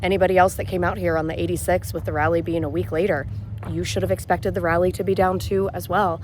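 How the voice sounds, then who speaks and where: A woman with a hoarse voice, speaking as a rally vendor in a chatty, matter-of-fact way. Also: on the low side, level tone, fast, a little mellow, a little hiss or hum. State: hoarse, losing her voice. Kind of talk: casual vlog chat to camera. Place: outdoor campground.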